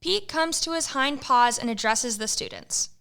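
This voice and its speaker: A woman narrating an amateur audio adventure in a playful, measured way. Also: high-pitched, lively intonation, vocal fry, fairly bright tone, clean tone. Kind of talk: scripted storybook narration.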